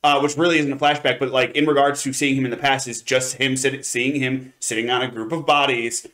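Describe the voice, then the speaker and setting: A man's voice: medium pitch, little pitch movement, brisk, a bit bright. A man co-hosting a review show, talking casually in a rambling, analytical way and qualifying his points. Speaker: co-host of an anime review show. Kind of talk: casual chat, review commentary.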